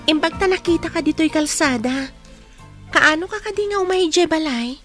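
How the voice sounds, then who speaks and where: A woman's voice: high voice, normal speed, fairly bright tone, soft background music. A woman playing a lovesick, pleading young student in an audio drama.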